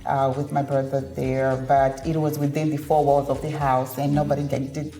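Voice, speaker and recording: A woman from Zimbabwe tells her story in a calm, measured way, her voice low and mellow. She sounds like she is in an echoey room, with faint music behind her. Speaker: guest, ministry founder. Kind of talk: interview testimony.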